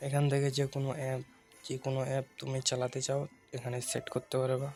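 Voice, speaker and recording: A man's voice: medium pitch, monotone, fast talker, darkish tone, soft background music. A man hosting a casual how-to video, giving step-by-step instructions and often repeating his phrases. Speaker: tutorial video host. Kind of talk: casual screen-recorded phone tutorial narration.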